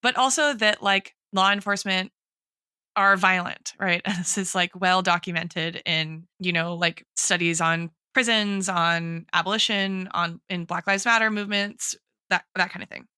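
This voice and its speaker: Bright, crisp-voiced woman in analytical discussion. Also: lowish voice, fairly even intonation, unhurried, no echo. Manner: academic, hedging with frequent "like" and "right". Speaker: podcast co-host.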